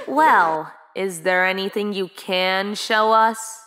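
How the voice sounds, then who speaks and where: A woman playing a poised, proud military official in an animated drama, presenting in a formal, ceremonial manner. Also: mid-range voice, slow pace, heavy background noise, natural voice. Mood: gracious. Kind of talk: animated-series voice acting. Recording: music behind the voice.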